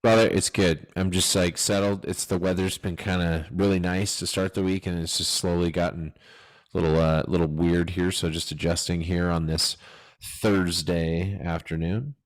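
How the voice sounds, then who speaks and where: Deep-voiced male co-host, brash and playful, pumps up his partner. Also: little pitch movement, normal speed. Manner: loud, jokey, hype-man energy, swears. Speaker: podcast co-host. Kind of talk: casual chat.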